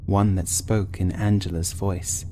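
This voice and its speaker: Low-voiced man narrating horror. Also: flat delivery, measured pace, dark tone, a little hiss or hum. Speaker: audiobook narrator and author. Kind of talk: scripted first-person horror story narration.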